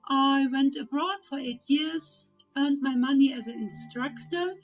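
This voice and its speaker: An older woman with a German accent speaks in a high voice, calm and measured, with small pauses and fillers as she gives a personal testimony. Soft music plays faintly underneath. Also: rough recording. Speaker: volunteer.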